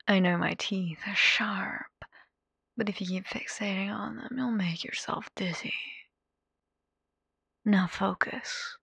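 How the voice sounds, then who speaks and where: Evil radio demon girl for asmr, theatrical n mocking, super swoopy, slow drawn out taunts. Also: medium pitch, creaky, a bit bright, husky, dry recording, full character voice. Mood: taunting, probing. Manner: mocking, showy, drawn-out delivery with whispered asides and pet names. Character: sadistic, theatrical female radio demon playing hotel therapist. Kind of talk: ASMR character roleplay addressed to the listener.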